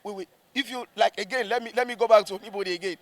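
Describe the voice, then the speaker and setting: Male stage actor, Nigerian accent, high-pitched, reflective interview delivery with emphatic repetition. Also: rapid-fire, gravelly.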